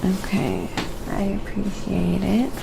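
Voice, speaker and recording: A woman hosting a quiet ASMR painting livestream, giving an unhurried, murmured running commentary on her own work and chatting with viewers. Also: mid-range voice, big pitch swings, vocal fry, heavy background noise. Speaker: live-streaming painter and host.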